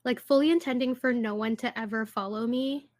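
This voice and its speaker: A woman's voice: fairly high pitch, steady tone, brisk. A woman in her twenties co-hosting a podcast, chatting casually with many fillers and frequent laughter. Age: 20s. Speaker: podcast co-host. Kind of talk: casual chat.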